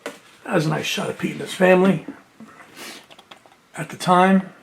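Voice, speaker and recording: A man's voice: high voice, fairly even intonation, moderate pace, gravelly, a little hiss or hum. A man casually chatting through an unboxing of a music box set, reading out liner notes with enthusiasm and sniffling from allergies. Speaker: music collector and video host. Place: indoors at home near his shelves.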